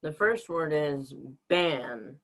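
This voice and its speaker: Young male helper, very slow and deliberate, prompting with words in a lesson. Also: fairly high pitch, animated, a bit bright. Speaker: guest helper reading out words.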